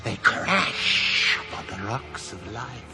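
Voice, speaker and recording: An actor playing a menacing, mad British husband in a radio ghost story, with a slow, theatrical, gloating delivery. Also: male voice, lowish voice, sing-song, creaky, full character voice. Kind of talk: 1990s British radio drama acting. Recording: loud music over the voice.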